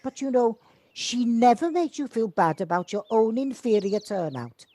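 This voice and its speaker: Casting: actress as genteel, chatty neighbour woman, kindly but a little reserved, lively intonation, home-recorded audio play. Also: on the high side, moderate pace. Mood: fond, admiring. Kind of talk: amateur audio drama acting. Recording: home-recorded on a personal device.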